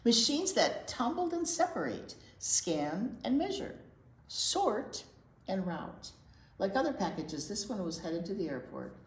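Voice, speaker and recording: A woman reading a picture book aloud to young children as a storytime teacher, expressive and emphatic, explaining things simply. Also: medium pitch, a little slow. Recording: roomy echo with faint noise.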